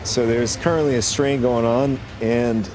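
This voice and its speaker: A man with a raspy voice from a cold, chatting casually with viewers while gaming on a stream, rambling with many restarts. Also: medium pitch, steady tone, measured pace, music in the background, low-quality recording.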